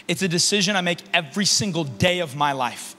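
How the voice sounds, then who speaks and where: A young man preaching to a youth conference on a large stage into a handheld mic, alternating shouted emphasis with low, soft asides. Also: expressive, fairly quick. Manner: paces and gestures across the stage. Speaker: youth pastor. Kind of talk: energetic sermon. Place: large stage in a concert hall. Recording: reverberant hall with faint music.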